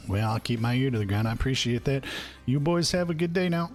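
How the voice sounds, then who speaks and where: A man's voice: medium pitch, expressive, fast, faint music behind. A man streaming a western roleplay game, talking in a folksy, chatty way and joking about himself with viewers. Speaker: streamer playing an old cowboy character.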